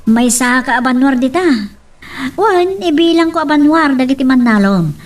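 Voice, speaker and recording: A woman acting a lively young female friend who teases a farmer, expressively, in an Ilocano audio drama. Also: high voice, moderate pace. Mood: teasing, admiring. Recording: distant mic with background noise.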